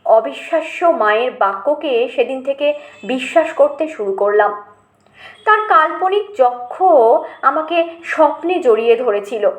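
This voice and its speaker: High-voiced woman storyteller, echoing sound. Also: lively intonation, measured pace. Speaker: storyteller, audiobook narrator. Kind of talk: scripted literary narration of a childhood memoir. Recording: roomy, echoing voice recording.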